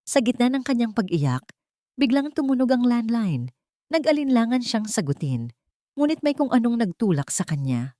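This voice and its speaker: A woman's voice: a little high, big pitch swings, moderate pace, mellow, no echo, studio quality. A woman reading a dramatic romance story as a scripted narration.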